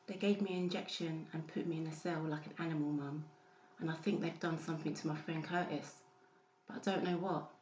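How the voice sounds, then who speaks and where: Audiobook role woman acting: meek, anxious mum, lowish voice, echoey and slightly noisy recording. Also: steady tone, a little fast, a little mellow, highs cut off, lightly acted. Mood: worried. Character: small, timid, worried mother visiting her son. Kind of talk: dramatised audiobook character voice.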